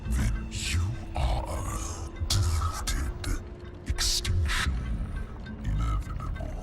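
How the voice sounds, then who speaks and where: Very deep, gravelly, menacing dying AI. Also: male voice, very animated, loud music behind the voice, heavily acted. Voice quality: gravelly, machine-like. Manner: slow, halting, clipped phrases. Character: dying malevolent male artificial intelligence bent on extinction. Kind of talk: video game voice acting.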